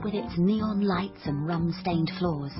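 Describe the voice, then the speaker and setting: A woman's voice: lowish voice, slow pace. A woman playing a bookish, awkward young dropout who tells her story like a crime novel in a radio drama, self-deprecating and dramatic. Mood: dreamy, wry. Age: young adult. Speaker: narrator and lead character. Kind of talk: radio drama, first-person noir-flavoured narration and dialogue. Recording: dull sound with music behind. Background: music.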